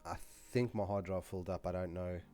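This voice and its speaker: Low, nasal man signing off casually. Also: a little fast, faint music behind. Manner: relaxed, chatty, loose with fillers. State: stuffed-up, under the weather. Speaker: gaming channel host. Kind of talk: casual video outro to viewers.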